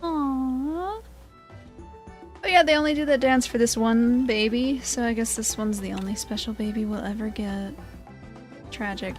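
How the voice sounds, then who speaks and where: Higher-pitched woman doing casual game commentary. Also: a little slow, faint music behind. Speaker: gaming video host. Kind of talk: casual let's play commentary.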